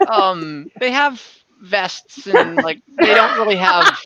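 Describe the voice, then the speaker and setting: A man acting as dungeon master, improvising narration and rulings in a halting, conversational way and often repeating himself. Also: high-pitched, big pitch swings, vocal fry, sharp tone, highs cut off. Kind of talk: improvised narration and rulings in an online tabletop game.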